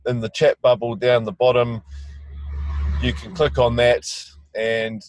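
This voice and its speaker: A man's voice: medium pitch, fairly even intonation, a little slow, dry recording. A man, a field trip teacher hosting a web conference from inside a vehicle, talking in a loose, friendly way with fillers and restarts. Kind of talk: casual hosted web conference.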